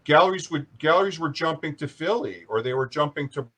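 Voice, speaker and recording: A man's voice: on the high side, animated, a little fast, pushed and bright. A man interviewing an artist in a casual conversation, often repeating words as he starts a point. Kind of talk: casual video-call interview.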